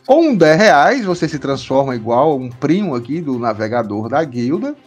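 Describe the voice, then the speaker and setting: A man's voice: mid-range voice, very animated, normal speed, soft background music. A man hosting a podcast in a casual, chatty way, often repeating himself and checking in with listeners. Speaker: podcast host. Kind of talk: casual podcast chat, explaining supporter tiers.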